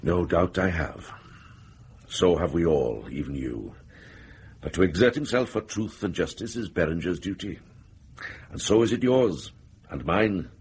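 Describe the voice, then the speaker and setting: An actor playing a wise older monk and herbalist in a radio drama, plain-spoken, measured and earnest. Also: male voice, low-pitched, expressive, a little mellow, slight background noise. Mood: firm, earnest. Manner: measured, earnest, dryly authoritative.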